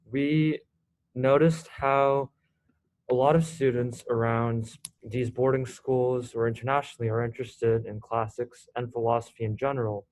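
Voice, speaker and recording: A teenage boy from South Korea, a student organizer hosting a casual video call meeting, talking haltingly with lots of fillers. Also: medium pitch, darkish tone, no room sound, poor audio. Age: teens.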